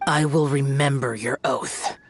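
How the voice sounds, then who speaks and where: A man's voice: mid-range voice, fairly even intonation, measured pace, close to an everyday voice. An actor playing an aloof young male guardian who hunts demons, speaking in a cold, terse, solemn way. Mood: curt.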